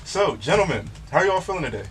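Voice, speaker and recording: A man's voice: fairly high pitch, sing-song, fast, a bit bright, slight background noise, poor audio. A man hosting a casual interview show, easygoing and slangy, speaking into a studio mic in a small studio. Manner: laid-back, slangy, easygoing. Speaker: show host and DJ.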